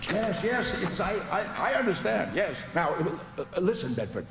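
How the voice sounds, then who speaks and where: An actor playing an excitable, fascinated older male scientist explorer, stammering and repeating words in old-time radio drama style. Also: sits high, normal speed, vocal fry, heavily acted. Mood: awed, eager. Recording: roomy echo under loud music.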